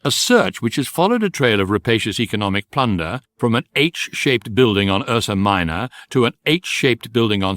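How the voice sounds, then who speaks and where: Man as wry guidebook narrator, animated intonation. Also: mid-range voice, dry recording, natural voice. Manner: measured, precise, deadpan. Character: wry, erudite narrator of an electronic galactic guidebook. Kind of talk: scripted comic radio drama narration.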